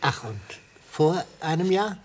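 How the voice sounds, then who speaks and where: An actor playing an aged, shrewd prosecutor in a German radio play, husky and theatrical as he probes and relishes the hunt. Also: on the high side, lively intonation. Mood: inquisitive. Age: older. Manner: probing, theatrical, drawn-out.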